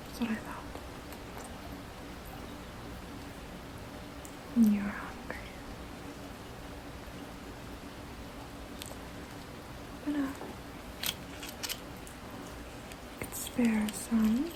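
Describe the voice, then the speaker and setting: A woman voicing a gently protective, motherly vampire in a soft, breathy ASMR whisper, casual and soothing. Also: fairly high pitch, heavy background noise, natural voice. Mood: caring. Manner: hesitant, casual, soothing, unhurried.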